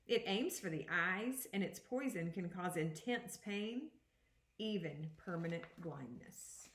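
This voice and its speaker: A woman reading a children's picture book aloud as a second-grade teacher, with deliberate, explanatory phrasing. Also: medium pitch. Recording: echoey room.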